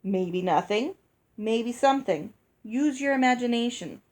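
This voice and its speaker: A woman's voice: on the high side, animated, unhurried, darkish tone. Woman voicing a blunt old fairy cook. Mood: brusque. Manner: brusque, scolding. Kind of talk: read-aloud storybook dialogue.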